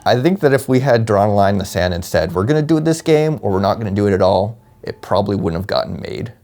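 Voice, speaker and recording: A man's voice: lowish voice, lively intonation, fast, darkish tone. A man, a game designer, fondly and playfully reminiscing in a documentary interview.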